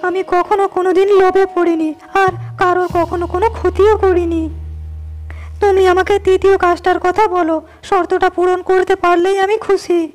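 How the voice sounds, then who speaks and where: A woman's voice: extremely high pitch, little pitch movement, normal speed, darkish tone, soft background music. A woman voicing a humble, kind-hearted young shepherd in a storybook cartoon, speaking earnestly. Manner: earnest, pleading.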